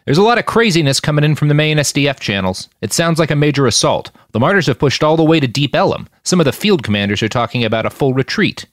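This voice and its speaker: Man doing an urgent, raised-pitch military driver. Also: sing-song, quick pace, few pauses, no room sound, clean studio sound. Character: tense vehicle driver reporting battle over intercom.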